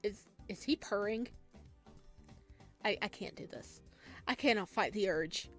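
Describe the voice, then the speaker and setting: A woman's voice: mid-range voice, unhurried. A woman hosting a gaming video, reading aloud and reacting expressively in a casual way. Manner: expressive, exclamatory. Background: soft game music.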